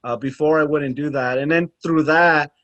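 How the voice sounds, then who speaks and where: A man's voice: a little high, fairly even intonation, moderate pace, fairly bright tone, no room sound, lo-fi sound. A man in a casual podcast chat, speaking reflectively with many fillers. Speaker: podcast co-host.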